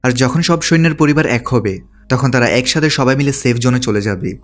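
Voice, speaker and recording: A man's voice: medium pitch, fast talker. A man delivering scripted narration as the narrator of a movie recap. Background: background music.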